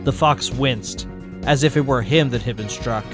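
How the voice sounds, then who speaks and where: A man's voice: mid-range voice, normal speed. Man narrating a fan story over music. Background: background music.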